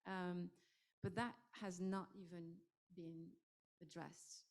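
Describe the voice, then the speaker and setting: French-accented woman panelist, hesitant, slightly low voice. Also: little pitch movement, slow pace. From France. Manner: hesitant, many fillers and restarts. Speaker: investor panelist. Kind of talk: panel discussion.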